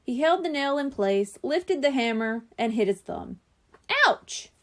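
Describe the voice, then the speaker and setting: High-voiced, animated woman reading a children's story. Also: normal speed. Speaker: audiobook reader. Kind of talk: expressive children's story narration.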